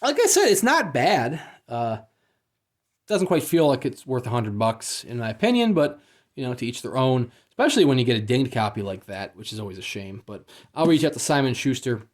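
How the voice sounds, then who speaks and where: A man's voice: mid-range voice, big pitch swings, brisk. A man giving a casual, unscripted unboxing review as he goes, in a hesitant, understated and mildly let-down manner.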